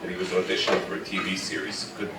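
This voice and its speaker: A man's voice: low-pitched, little pitch movement, rapid-fire. A man in an audience offering tentative thoughts in casual discussion. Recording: echoey room, distant mic, audience noise.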